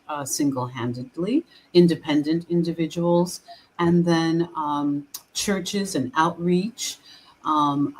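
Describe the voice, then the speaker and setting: Low-voiced woman minister. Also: a little hiss or hum, rough recording. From Haiti. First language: French. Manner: earnest, halting with fillers and restarts. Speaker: guest minister. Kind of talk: casual interview chat.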